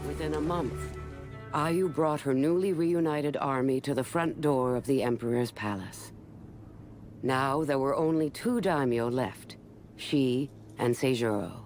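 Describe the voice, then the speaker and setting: An actor playing a grave warlord who narrates a video game war story in a measured, solemn way. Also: male voice, medium pitch, a little mellow, soft background music, natural voice. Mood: solemn, narrating.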